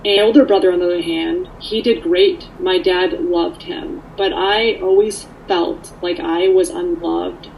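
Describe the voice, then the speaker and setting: Over light background noise, a woman speaks in a lowish voice with plenty of creak. She shares her personal story conversationally, candid and earnest throughout. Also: steady tone, a little slow, hardly any pauses, a little mellow, rough recording. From Canada, born in Poland.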